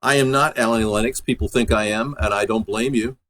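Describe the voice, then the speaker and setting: A man's voice: on the low side, fairly even intonation, fairly quick, fairly bright tone. A man hosting a livestream, chatting casually and in a rambling way as he responds to viewers. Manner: rambling, replies to viewers' messages. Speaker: livestream host and amateur musician.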